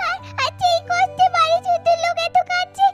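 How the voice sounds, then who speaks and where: Very high-pitched, pleading little ghost girl. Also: flat delivery, a little fast, faint music behind. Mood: confused, frightened. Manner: childlike, repetitive, pleading calls. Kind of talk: amateur doll-story cartoon acting.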